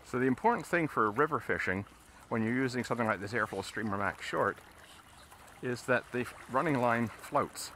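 A man's voice: medium pitch, normal speed, slight background noise. A man out on a river, casually explaining fly casting step by step while he fishes from a boat. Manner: relaxed, explains steps as he casts. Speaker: fly fishing instructor. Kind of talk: casual instruction while fishing.

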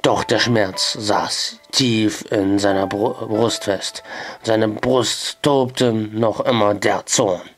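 A man's voice: fairly low pitch, fairly even intonation, takes it slowly, soft background music. A young man with a slightly strained voice from a cold, hosting a let's play and reading the game's story aloud with many hesitant fillers. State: has a cold, voice a bit strained. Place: small bedroom with posters. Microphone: headset.

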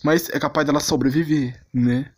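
A man's voice: on the high side, fairly even intonation, normal speed, a little mellow. A Brazilian man in a casual, rambling, mock-outraged rant of commentary, full of slang. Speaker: video creator. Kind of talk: casual ranting commentary on anime characters. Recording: noisy home recording with clicks.

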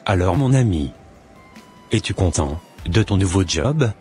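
An obliging young businessman in a cartoon played by a man talks slowly. His voice is deep and husky, with faint music behind it. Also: expressive, darkish tone. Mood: friendly. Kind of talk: scripted cartoon dialogue.

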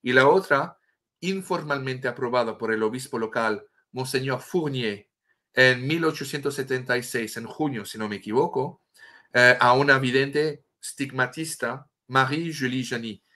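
A middle-aged man from France talks in Spanish with a clear French accent, his bright, forceful tone carrying over a webcam call from a room at home. He tells his story earnestly and often stops to restart a word he is hunting for. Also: mid-range voice, normal speed. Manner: earnest, restarts words while searching for Spanish terms. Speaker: author and guest. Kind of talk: impassioned storytelling on a video call. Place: home room with a bookshelf and statue. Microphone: webcam on a video call.

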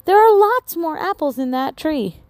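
A woman voicing a kind, patient female friend in a children's story, gentle and soothing. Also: sits high, expressive, normal speed, no room sound, pitched above the performer's own voice. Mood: calming.

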